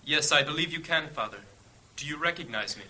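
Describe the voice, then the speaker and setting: An actor playing a solemn high-ranking church leader in a fantasy audio drama, speaking in a grave, formal, commanding way. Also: male voice, animated, brisk, pushed and bright, heavily acted, poor audio. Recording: clear background ambience noise.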